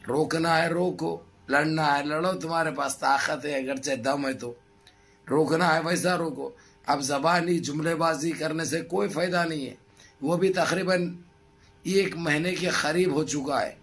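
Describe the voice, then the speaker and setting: A middle-aged man with a hoarse throat gives impassioned political commentary to his viewers in emphatic, repetitive, rhetorical phrases. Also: fairly high pitch, steady tone, a little slow, fairly bright tone. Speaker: news commentary vlogger. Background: background music.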